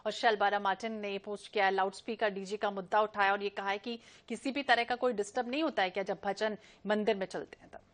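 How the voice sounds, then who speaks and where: A woman's voice: mid-range voice, steady tone, quick pace, hardly any pauses. A woman news anchor moderating a broadcast debate, introducing guests and putting questions to them.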